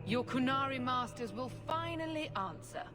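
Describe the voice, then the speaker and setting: An actress playing a self-righteous church leader who accuses and preaches. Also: female voice, high voice, animated, moderate pace, music in the background. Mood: accusing.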